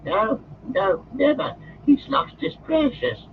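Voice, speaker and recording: A man voicing a wretched, twitchy little male creature in a shrill, whining voice that cracks into squeals. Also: very animated, slow, gravelly, phone-quality sound, slight background noise, exaggerated voice. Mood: wailing.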